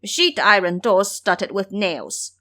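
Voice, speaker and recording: A woman's voice: mid-range voice, animated, slow pace, fairly bright tone. A woman voicing a foolish, cowardly male pig disciple in an audio drama, blustering and whining through lies. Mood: nervous.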